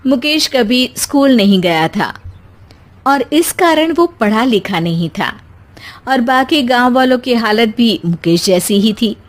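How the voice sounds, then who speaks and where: Female storyteller, high-pitched voice, scripted narration of a tale. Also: animated, a little slow.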